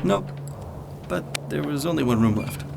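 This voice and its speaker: Gravelly easygoing guy, loud car noise. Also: a little high, expressive, a little slow, heavily acted. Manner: casual, hesitant. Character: Josh, easygoing, awkwardly smitten, considerate young man. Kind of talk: naturalistic audio drama acting.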